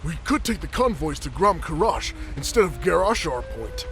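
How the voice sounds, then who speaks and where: A man's voice: a little high, big pitch swings, normal speed, over music. An actor playing a nervous male orc guard in a fantasy audio drama, pleading and defensive. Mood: defensive, uneasy.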